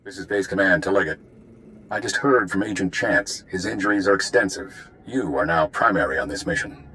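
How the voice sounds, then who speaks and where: An actor playing a curt, secretive intelligence commander in a video game, clipped and authoritative. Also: male voice, low-pitched, fairly quick, sharp tone, a little hiss or hum. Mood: stern.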